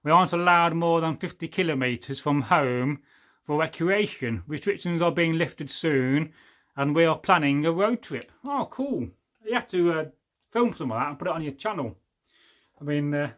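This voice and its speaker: A man in his forties with a voice a little higher than most men's. He hosts a relaxed livestream, chatting in a rambling, jokey way and often losing his thread as he reads out viewers' comments. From England. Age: 40s. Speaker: livestream host. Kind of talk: casual livestream chat reading viewer comments.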